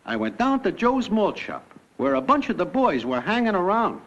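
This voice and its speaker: High-pitched, brash young cartoon wiseguy. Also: male voice, wildly swooping pitch, moderate pace, very noisy. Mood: matter-of-fact, jaunty. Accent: American. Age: young adult. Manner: breezy, wisecracking, packed with idioms. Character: brash slangy young newcomer to the afterlife telling his life. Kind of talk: classic cartoon comic narration. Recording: dull lo-fi cartoon soundtrack, loud music.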